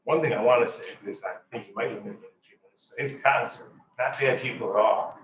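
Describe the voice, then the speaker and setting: An older man, a lawyer, rambles through his comment, halting and restarting. His voice is mid-pitched. It is heard from a distance in an echoing council chamber, with a faint hiss under it. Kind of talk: unscripted public comment.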